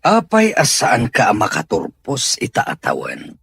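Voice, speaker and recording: A man's voice: mid-range voice, huge pitch swings, unhurried, gravelly, fairly bright tone, slightly rough, dry recording, heavily acted. A man with an Ilocano Filipino accent playing a stern, worried father in an audio soap drama. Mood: grave, questioning.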